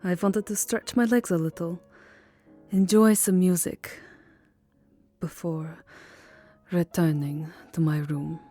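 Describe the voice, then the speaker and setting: Woman acting a slow, melancholy vampire countess. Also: lowish voice, steady tone, faint music behind. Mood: tender, wistful. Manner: soft, hesitant, formal, often dropping into whispers. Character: lovelorn, melancholy vampire countess, burned and self-loathing. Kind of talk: ASMR roleplay voice acting, second-person romance.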